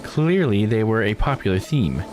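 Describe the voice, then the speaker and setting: A man's voice: on the low side, big pitch swings, moderate pace, a little mellow, soft background music. A man voicing an earnest, knowledgeable expert who formally explains local lore in a visual novel game. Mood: informative. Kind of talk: visual novel dialogue read aloud.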